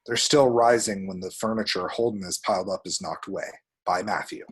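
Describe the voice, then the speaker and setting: A man's voice: low voice, a little fast, slightly rough. A man reading screenplay action descriptions aloud as dramatic, vivid narration at a table read.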